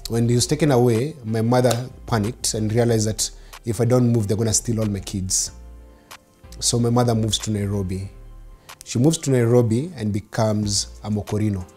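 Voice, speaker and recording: A Kenyan man in his thirties, telling his childhood story in an interview, mixing in Swahili, animated. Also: lowish voice, normal speed, soft background music. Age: 30s. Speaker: interview guest. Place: living room studio set, seated in armchair.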